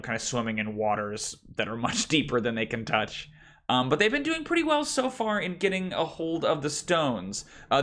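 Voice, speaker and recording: A man with a deep voice, a game master thinking aloud about his campaign in a casual, rambling way with many fillers. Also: a bit bright. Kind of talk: casual prep talk about running a tabletop campaign.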